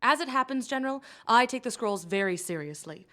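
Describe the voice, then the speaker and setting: A woman's voice: on the high side, expressive, fairly quick, a bit bright. An actress playing a young female mage and theology student, scholarly and tart-tongued, with a dry, sardonic manner. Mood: resolute. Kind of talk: audio drama acting.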